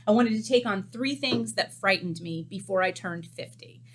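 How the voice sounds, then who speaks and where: A woman in her fifties, a chef, talks candidly to her webcam from a small cooking studio. Her voice sits at an ordinary middle pitch, and she fills her pauses with frequent ums. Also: slight background noise. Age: 50s. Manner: chatty and candid, many ums. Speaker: chef hosting a cookbook series. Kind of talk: casual chat to camera.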